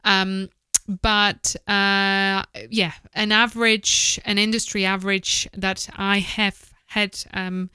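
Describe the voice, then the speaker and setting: A woman's voice: mid-range voice, little pitch movement, takes it slowly, pushed and bright, clear, resonant tone, no room sound. A woman in her thirties giving informal, candid advice in a conversational, opinionated way, speaking close into a studio microphone. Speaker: voice-over producer giving advice. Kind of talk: informal advice to camera, podcast style. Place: small indoor office. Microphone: close studio microphone with foam windscreen, headphones.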